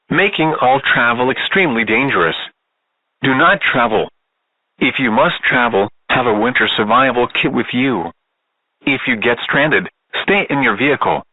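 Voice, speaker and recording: A man's voice: mid-range voice, dry recording. Tinny synthetic male weather radio announcer. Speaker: automated weather alert announcer. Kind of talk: emergency weather bulletin. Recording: narrow phone-like weather radio broadcast.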